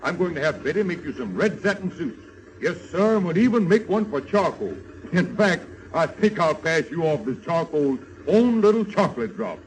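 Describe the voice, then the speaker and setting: An actor playing a bossy, smooth-talking medicine show kidnapper in a coaxing showman's manner, in 1930s radio serial style. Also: fairly high pitch, big pitch swings, normal speed, low-quality recording. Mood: jovial, scheming. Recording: old radio serial recording.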